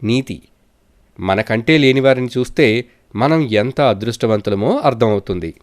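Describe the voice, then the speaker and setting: A man's voice: medium pitch, lively intonation, a little slow. A man narrating scripted children's moral stories as a storyteller.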